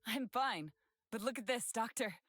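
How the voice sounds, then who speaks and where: A woman's voice: fairly high pitch, lively intonation, moderate pace, a bit bright, no room sound, pitched above the performer's own voice. Woman reading as a cautious young male astronomer. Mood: uneasy. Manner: worried, hesitant pleading.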